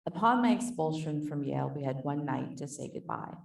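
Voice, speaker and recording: A woman author in a panel conversation, thoughtful and soft-spoken, reading from her book and talking about it. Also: deep voice, lively intonation, brisk, gravelly, distant mic. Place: conference hall. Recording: echoey hall, voice from off mic.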